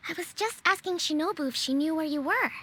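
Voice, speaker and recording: A woman voicing a gentle, polite, cheerful young noblewoman in a video game. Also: high-pitched, lively intonation, quick pace, noisy background. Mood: glad.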